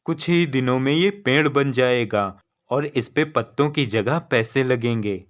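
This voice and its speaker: Man acting a kindly, slow-speaking holy man. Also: medium pitch, lightly acted. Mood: benevolent. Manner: benevolent, measured.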